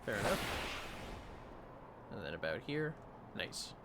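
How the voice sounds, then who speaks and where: Slow, creaky-voiced man streaming a game. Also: lowish voice, sing-song, heavy background noise. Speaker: livestreamer playing a video game. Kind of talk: casual gameplay chat mixed with reading dialogue aloud.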